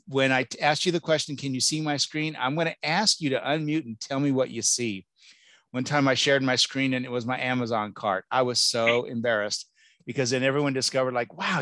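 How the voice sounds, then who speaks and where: A man's voice: mid-range voice, sharp tone, no room sound. Chatty reassuring man on a video call. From Southern California. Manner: chatty, reassuring, jokey, acts out quoted voices. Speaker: college admissions speaker. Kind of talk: presentation to parents over a video call. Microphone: computer mic on a video call.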